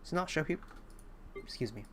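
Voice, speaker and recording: A woman's voice: sits low, expressive, moderate pace, a bit bright, slight background noise. A young woman livestreaming a game, chatting casually and thinking aloud with some hesitation. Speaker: game streamer. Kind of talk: casual livestream chat while playing. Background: game music.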